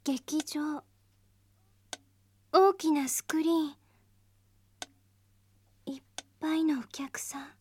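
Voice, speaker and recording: An actress playing a dazed, childlike young woman in a radio drama, answering hypnotic questions in halting, dreamy phrases. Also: high voice, fairly even intonation, darkish tone, slight background noise. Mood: dazed, eerie. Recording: eerie music behind the voice.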